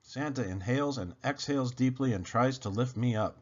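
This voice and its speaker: A man reading a children's storybook aloud with dramatic, animated narration. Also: mid-range voice, fairly quick, lo-fi sound.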